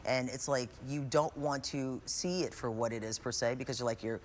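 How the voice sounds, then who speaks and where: A woman's voice: very deep voice, normal speed, a little hiss or hum. A woman hosting a conversational TV interview, affirming her guests and often echoing their words. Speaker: TV talk show host. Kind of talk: live daytime interview, conversational.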